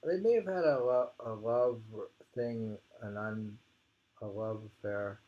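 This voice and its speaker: A man casually reading poetry and talking it over in a halting, musing way. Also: lowish voice, dull audio. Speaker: reader and host of poetry readings.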